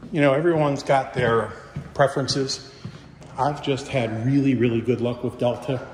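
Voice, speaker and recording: A man's voice: mid-range voice, fairly even intonation, moderate pace, a little mellow, slight background noise. A middle-aged man, a real estate agent chatting casually and salesy while he walks through an empty house with a phone camera. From Kentucky. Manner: chatty, folksy, salesy asides with restarts. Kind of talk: casual walkthrough commentary. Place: empty house, indoors with some steps outside.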